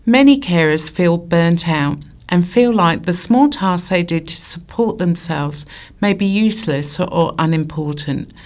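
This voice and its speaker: A woman reading scripted informational narration in a measured, formal manner. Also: lowish voice, darkish tone.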